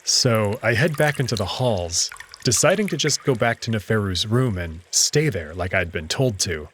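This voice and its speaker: A man's voice: lowish voice, lively intonation, moderate pace, a bit bright, slight background noise. A man reading a visual novel aloud as first-person dramatic narration.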